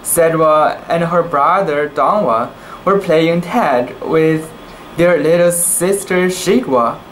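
A young man reading a children's book aloud in a casual, halting way, with cooing asides about the pictures. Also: fairly high pitch, fairly bright tone, a little hiss or hum, rough recording. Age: young adult.

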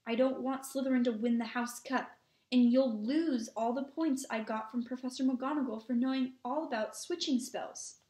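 A woman's voice: a little high, normal speed, echoey room, lightly acted. A woman voicing a bossy, indignant schoolgirl who scolds her classmates insistently in a storybook reading. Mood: scolding.

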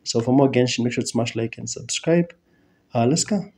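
A man's voice: mid-range voice, normal speed, creaky, a little mellow. A man in his thirties with a Kenyan accent, chatting casually as a reaction host, easygoing and chuckling. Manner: easygoing, gestures a lot, chuckles. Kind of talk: casual chat. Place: small indoor room. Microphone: headphones and desk mic.

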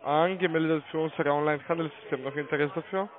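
A man with a foreign accent mumbles in a fairly high voice. He is making a sales call, and it comes through a thin, tinny phone connection. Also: fairly even intonation, fast talker. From Russia. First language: not German. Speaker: call-centre caller.

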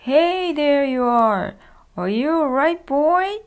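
A woman voicing a gruff, jolly father thunder spirit in a storybook reading, speaking in a hearty, friendly way. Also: high voice, lively intonation, drawn-out words, heavily acted. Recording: faint music behind.

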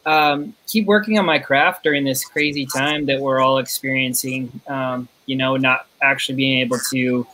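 A man's voice: mid-range voice, fairly even intonation, normal speed, few pauses, pushed and bright, rough recording. Easygoing young man on a video call. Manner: easygoing, hesitant with fillers. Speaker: actor, guest. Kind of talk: casual video call chat. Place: home room.

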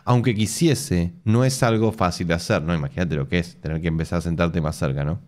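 A man's voice: low-pitched, fairly quick, a little mellow. A man in his thirties with an Argentine accent, reading a post aloud on a home stream and breaking off for sarcastic, laughing asides. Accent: Argentine Spanish. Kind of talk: casual live-stream reading with mocking asides. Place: home room with bookshelves.

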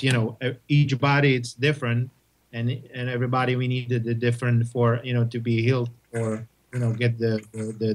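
Czech-accented man, flat halting speech. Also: medium pitch. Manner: halting, many restarts and repeated words. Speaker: guest, testing company representative. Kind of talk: interview answers.

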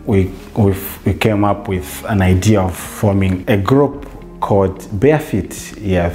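A Zambian man in his forties, a theatre facilitator, talking reflectively in a relaxed interview in a bright living room, with restarts and "you know". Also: low-pitched.

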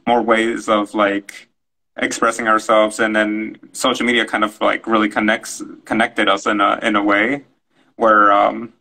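A man's voice: lowish voice, creaky, bright, forceful tone, poor audio. A man speaking on a video call panel, hesitant and reflective, often searching for his words. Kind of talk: casual panel discussion. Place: room at home, indoors.

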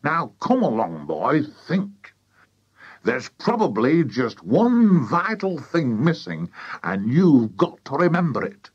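Man acting an excitable, bossy farmer dad. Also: medium pitch, slow, slightly rough, highs cut off, pitched above the performer's own voice, exaggerated voice. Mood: bossy, urgent. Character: excitable, bossy farmer father, loud and eager. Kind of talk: children's audiobook character voice.

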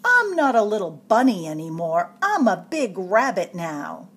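A woman's voice: mid-range voice, unhurried, breathy edge, exaggerated voice. A woman voicing a proud but jittery young boy rabbit in a picture book, protesting that he is grown up. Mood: indignant, boastful. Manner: boastful, protesting, excitable.

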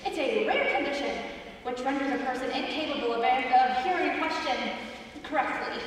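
A young woman on a school stage playing a scattered teen applicant who mishears every question, earnest and excitable, heard from far back in an echoey hall. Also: high voice, a little fast, a little hiss or hum, natural voice. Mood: flustered. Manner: earnest, excitable, blurts odd answers. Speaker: student actor. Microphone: far camera in the audience.

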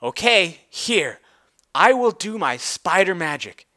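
A reader voicing a calm, wise female spider wizard from a storybook with solemn pronouncements. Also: medium pitch, slow, heavily acted.

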